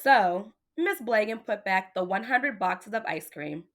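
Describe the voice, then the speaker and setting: Female storyteller, teacher type, animated read-aloud delivery, talks straight to the kids. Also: medium pitch, unhurried. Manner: addresses child listeners directly, with questions. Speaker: teacher reading a picture book aloud.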